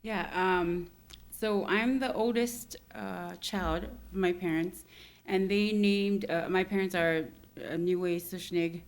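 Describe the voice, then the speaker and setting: A soft-spoken woman in her thirties from Hawaii, a guest on a podcast. Her voice sits on the low side and sounds smooth and resonant. She talks thoughtfully, at ease, with light laughs. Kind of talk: casual interview chat.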